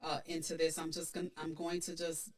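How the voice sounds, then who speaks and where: A woman's voice: low voice, completely flat delivery, normal speed, a little mellow. A woman co-hosting a podcast, chatting casually and reading out audience comments, with many restarts and fillers. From Puerto Rican background. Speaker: podcast co-host.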